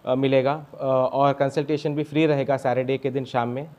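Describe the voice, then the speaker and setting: A young man, a doctor answering interview questions haltingly, with broken starts and English medical terms. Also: medium pitch, flat delivery, a little mellow. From India. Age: young adult. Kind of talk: interview answers at a health camp. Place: small clinic room, indoors. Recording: dull lo-fi sound.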